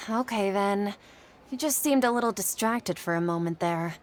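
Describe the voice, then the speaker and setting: A woman's voice: mid-range voice, unhurried, slight background noise, lightly acted. A woman voicing an earnest, self-doubting young woman in a video game, polite and a little hesitant. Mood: puzzled. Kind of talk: video game character acting.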